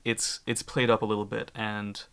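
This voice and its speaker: A man's voice: on the low side, flat delivery, normal speed, creaky, fairly bright tone, clean tone. A man from Ukraine speaking as a guest on a discussion panel, candid and emphatic, often restarting his sentences.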